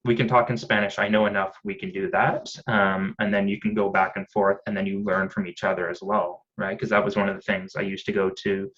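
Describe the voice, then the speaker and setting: A man in his thirties talks in a loose, rambling way, full of ums and restarts. His voice sits very low. The audio is dull and lo-fi. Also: little pitch movement, a little fast, few pauses, a bit bright. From Canada. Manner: chatty, rambling, many fillers and restarts. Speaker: podcast guest. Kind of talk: casual conversation.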